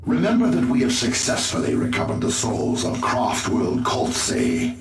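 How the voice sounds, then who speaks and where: A man playing a solemn elven alien seer-commander in a video game, with formal, grave, measured delivery. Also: low-pitched, wildly swooping pitch, heavily acted. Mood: solemn, defensive. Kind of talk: video game cutscene acting. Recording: roomy, distant voice over game music.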